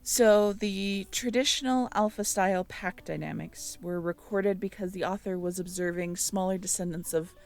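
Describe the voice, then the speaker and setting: A woman's voice: medium pitch, moderate pace, few pauses, a little mellow, faint music behind. A woman in an intimate audio roleplay, musing aloud as she reads through notes and checks back with the listener.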